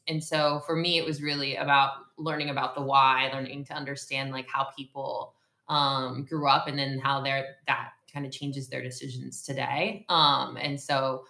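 A woman's voice: deep voice, vocal fry, sharp tone, echoey room. A woman co-hosting a podcast, chatting casually with many fillers and restarts. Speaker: podcast co-host.

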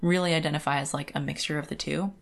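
Woman, lower-pitched voice, podcast co-host, casual, opinionated, conversational, lots of "like". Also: steady tone, quick pace, vocal fry. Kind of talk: casual book discussion.

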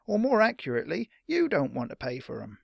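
A fat village shopkeeper from a fantasy audiobook, put on by a male narrator, pitched up high. He is suspicious, brusque and condescending, with a haughty way of addressing youngsters. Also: huge pitch swings, fairly quick, vocal fry, dry recording, clean studio sound, heavily acted.

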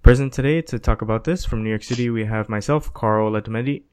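A man's voice: lowish voice, quick pace, darkish tone, clear, resonant tone. Man hosting, reading a scripted intro. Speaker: podcast host. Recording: dry close podcast audio.

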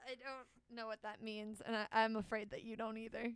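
Female comedy podcaster: high, crisp voice, sarcastic and animated, casual banter. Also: brisk, no echo, clean studio sound. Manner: animated, sarcastic, slangy teasing. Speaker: podcast host.

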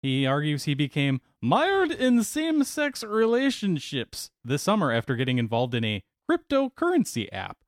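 Lively joking man reading the news. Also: fairly high pitch, moderate pace, few pauses, no echo, studio quality. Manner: joking, reads news items aloud with playful teasing. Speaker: podcast co-host reading news. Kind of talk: casual podcast chat.

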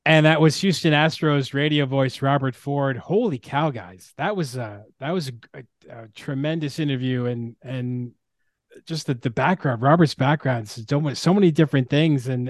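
A man hosting a casual podcast, speaking haltingly and often repeating his words. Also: mid-range voice, no room sound, clean studio sound. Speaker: podcast host.

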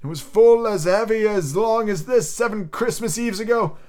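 A man voicing a tormented old male ghost in chains, speaking in a mournful, drawn-out lament. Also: high-pitched, darkish tone, pitched above the performer's own voice, natural voice. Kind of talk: audiobook character reading.

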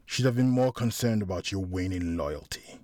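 A man voicing a cold, scornful, vengeful mob enforcer in a crime story, with a deep, hoarse voice. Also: moderate pace, darkish tone, no room sound, studio quality, natural voice.

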